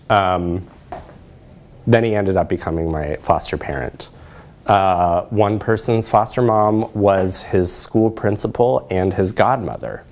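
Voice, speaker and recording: A man in his thirties with an American accent presents research to an audience, explaining in a measured way with pauses. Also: fairly low pitch, darkish tone. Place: lecture room, indoors.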